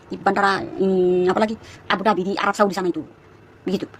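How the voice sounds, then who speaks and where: A woman of about thirty from East Nusa Tenggara, speaking with its regional Malay accent, chatting to viewers on a phone livestream and telling her story in rapid, chatty bursts full of asides. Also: medium pitch, flat delivery, a little hiss or hum. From East Nusa Tenggara, Indonesia. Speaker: live streamer. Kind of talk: casual live-stream testimony to viewers.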